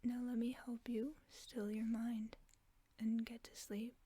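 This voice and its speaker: A woman voicing a gentle, protective werewolf friend in a whispered sleep-aid audio roleplay, speaking in a soft, soothing whisper close to the microphone. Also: fairly high pitch, level tone, unhurried, breathy edge, dry recording.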